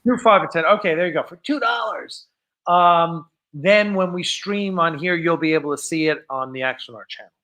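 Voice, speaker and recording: A man's voice: a little high, moderate pace, pushed and bright. A man hosting a live stream, chatting casually and off script in a rambling, enthusiastic way.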